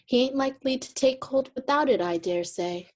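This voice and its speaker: A woman's voice: high voice, normal speed, darkish tone. Young woman playing a brazen, greedy scavenger woman. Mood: brazen, boastful. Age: young adult. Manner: brash, unashamed, gossipy. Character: brazen, greedy lower-class woman selling a dead man's things. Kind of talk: theatrical radio play acting.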